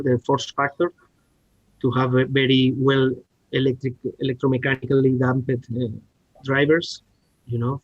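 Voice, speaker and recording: Halting Spanish-accented man, dull audio. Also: medium pitch, a little mellow, no echo. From Spain. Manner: halting, searching for words with repeated starts. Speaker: R&D director, podcast guest. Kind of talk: technical presentation and discussion.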